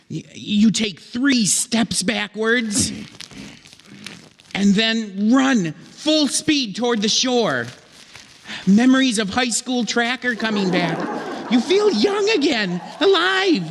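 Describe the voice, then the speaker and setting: A man narrating a comic sketch theatrically in old-time radio style, on a reverberant theatre stage. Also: high-pitched.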